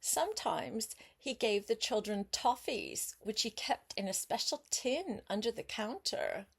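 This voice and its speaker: An older woman reads a children's story aloud as a grandmother would, expressively, pausing to chat and put simple questions to her young listener. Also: a little high, expressive, gravelly, husky.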